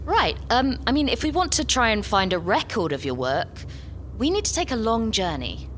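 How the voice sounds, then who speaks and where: A woman playing a brisk, scheming but tactful female spaceship captain in a live sci-fi comedy audio drama. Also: fairly high pitch, sing-song, fairly bright tone. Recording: live stage recording with faint noise.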